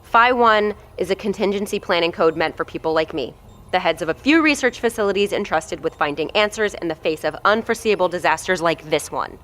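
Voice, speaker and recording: A woman's voice: on the low side, animated, fairly quick, fairly bright tone, soft background music. An actress playing a blunt, foul-mouthed woman who heads a research facility, talking in a commanding way in an audio drama. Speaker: facility head.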